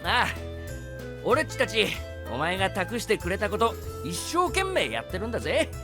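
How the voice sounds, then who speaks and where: A woman voicing a brash, excitable little boyish sidekick with rough slangy speech in an anime-style drama. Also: mid-range voice, normal speed, over music, close to an everyday voice. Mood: earnest.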